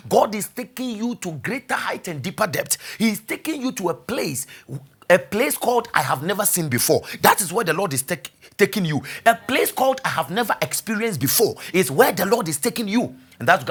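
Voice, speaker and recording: A man preaching a sermon as pastor, repeating key phrases, asking the congregation rhetorical questions and building to loud exhortation. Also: sits high, slightly rough.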